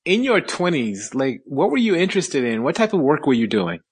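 A man's voice: fairly high pitch, very animated, a little fast, slightly rough. A man hosting an interview, eagerly asking questions and steering the guest. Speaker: podcast host.

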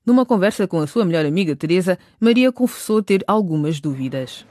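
A woman narrating a radio drama in scripted, even recap style. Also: on the low side, fairly quick, dry recording, studio quality. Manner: even, clear recap delivery.

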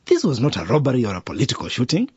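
A man's voice: mid-range voice, wildly swooping pitch, racing, slightly rough, dry recording, highs cut off, heavily acted. An actor playing an affectionate, chuckling middle-aged father and policeman in a radio drama. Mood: amused, reassuring.

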